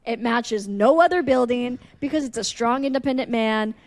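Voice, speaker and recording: A woman's voice: fairly high pitch, normal speed. A woman co-hosting a podcast in casual comedic chat, joking in a playful, mock-earnest way. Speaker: podcast co-host.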